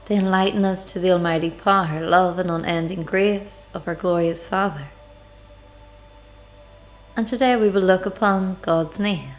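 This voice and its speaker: A woman leading a devotional guided meditation, speaking in measured, deliberate phrases with pauses. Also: on the low side, steady tone, a little hiss or hum, low-quality recording. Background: background music.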